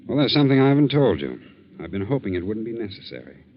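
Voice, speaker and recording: An actor playing a grave, measured, authoritative family doctor in 1940s radio drama style. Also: male voice, lowish voice, sing-song. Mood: grave, confiding. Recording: dull, noisy 1940s radio recording.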